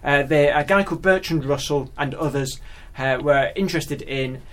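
A man's voice: a little high, moderate pace. A man who is a guest mathematician, explaining things in casual conversation and thinking aloud hesitantly.

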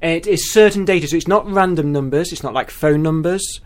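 A man's voice: a little high, fairly quick, over a noisy crowd. A man chatting casually as a guest mathematician, explaining things step by step with enthusiasm.

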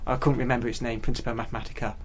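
A man telling stories as a guest in casual conversation, animated and often repeating words as he goes. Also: medium pitch, racing, slight background noise. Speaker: guest mathematician.

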